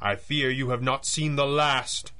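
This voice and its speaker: An actor playing a suave, unflappable masked vigilante in 1930s radio style, formal and arch with dry menace. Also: male voice, mid-range voice, level tone, a little slow, close to an everyday voice. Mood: quietly threatening.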